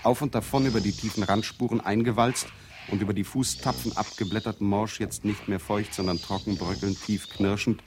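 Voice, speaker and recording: An actor playing a haunted first-person narrator in an experimental radio play, with a literary, incantatory way of listing images. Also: male voice, fairly low pitch, steady tone, brisk, background noise, lightly acted. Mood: breathless intensity. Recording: 1970s radio play with music behind. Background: music.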